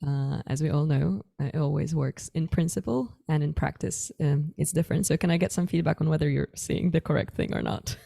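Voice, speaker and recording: A young woman from Poland speaks English in a joking, self-deprecating manner. Her voice sits low and carries a gravelly fry. She is presenting a science talk over an online call. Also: fairly quick, few pauses, mellow. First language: Polish. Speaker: astrophysics speaker.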